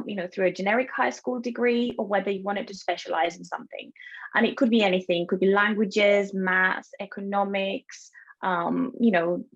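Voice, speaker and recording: A woman in her thirties, originally from Bulgaria, answering interview questions in a friendly, thoughtful way, often pausing with fillers. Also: mid-range voice, highs cut off. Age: 30s. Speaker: guest, cloud solution architect.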